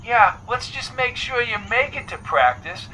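A blunt, protective confidant from an audiobook, acted out by a man, pitched noticeably high. The delivery is brusque, bossy and colloquial, with tough talk. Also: big pitch swings, a little slow, sharp tone, slight background noise, exaggerated voice, low-quality recording. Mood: worried, bossy.

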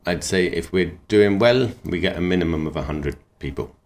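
Very deep-voiced relaxed man. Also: moderate pace. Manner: easygoing, rambling, enthusiastic. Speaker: knifemaker and cook. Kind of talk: relaxed interview talk.

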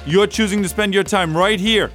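A man's voice: high voice, normal speed, a bit bright, soft background music. A man hosting a local radio talk show, chatty and upbeat, rambling a little as he talks.

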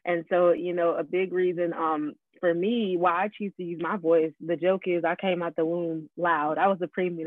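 A woman's voice: lowish voice, no echo. A young woman co-hosting a podcast, chatting in an upbeat way with lots of ums. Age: young adult. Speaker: podcast co-host. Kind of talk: casual podcast chat. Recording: tinny phone-like line, dry.

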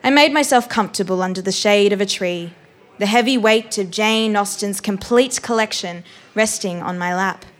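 A woman's voice: medium pitch, normal speed. Young woman reading a story aloud. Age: young adult. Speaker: performing arts graduate reading a story aloud. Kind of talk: expressive live literary reading.

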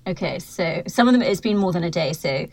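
A woman's voice: lowish voice, animated, brisk, creaky, darkish tone. A woman co-hosting a podcast in casual chat, hesitant with many ums and restarts, recording in a hotel-room cupboard on a computer mic that peaks. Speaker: podcast co-host. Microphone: computer mic, peaking.

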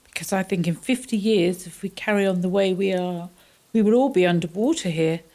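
A woman playing a concerned townswoman in a community radio play, with an earnest, deliberate delivery. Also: mid-range voice, warm tone, natural voice. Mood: foreboding. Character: concerned townswoman sewing a river tapestry.

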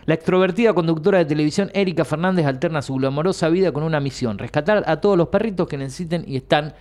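Argentine male radio host, chatty and rambling, slightly raised pitch, studio mic. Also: fast, darkish tone. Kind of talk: casual radio chat.

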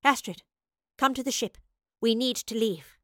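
A woman's voice: fairly high pitch, measured pace, fairly bright tone, dry recording, clean studio sound. A woman voicing an emotional woman professor in a story, earnest and pleading but resolute. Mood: fearful, insistent.

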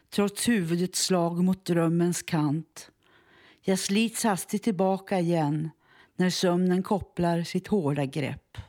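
Female poetry reader, lowish and dark-toned, measured and solemn. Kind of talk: poetry recitation.

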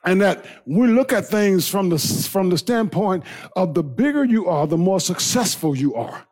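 A man preaching as pastor, building into a shouting, half-sung whooping cadence and then dropping to a quieter storytelling tone. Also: animated, moderate pace. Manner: drops to low conversational storytelling. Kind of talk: sermon.

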